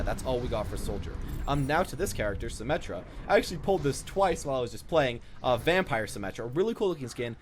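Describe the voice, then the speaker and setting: A young man giving casual, off-the-cuff commentary as he shows off items in a video game. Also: mid-range voice. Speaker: gaming video creator. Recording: noticeable background noise.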